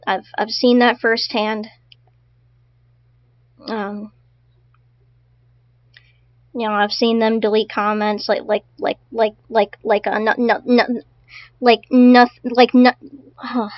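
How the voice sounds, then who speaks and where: A woman's voice: on the high side, flat delivery, takes it slowly, pauses often, no room sound, dull audio. A woman streaming casually to her chat, stuttering often and repeating her words. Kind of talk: casual live-stream commentary.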